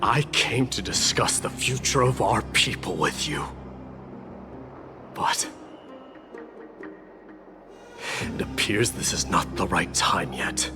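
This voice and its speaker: An actor playing a proud, brooding young warrior prince in a video game, speaking in an earnest, solemn, formal way. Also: male voice, on the low side, lively intonation, unhurried, over music. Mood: restrained. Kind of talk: dramatic video game acting.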